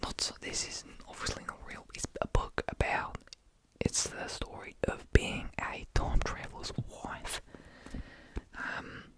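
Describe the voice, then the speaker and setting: Soft whisper, young adult, put-on Australian accent with slight Kiwi tinge, rambling ASMR, hesitant, self-deprecating, close-miked. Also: a little slow, rough recording. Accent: put-on Australian with a slight New Zealand tinge. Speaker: ASMR video creator.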